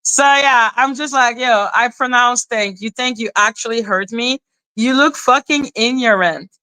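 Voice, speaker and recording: Bright-voiced woman ranting. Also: fairly high pitch, measured pace, clear, resonant tone, no room sound, low-quality recording. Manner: sarcastic, exasperated, rambling. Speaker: livestream host. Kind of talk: ranting live-stream commentary.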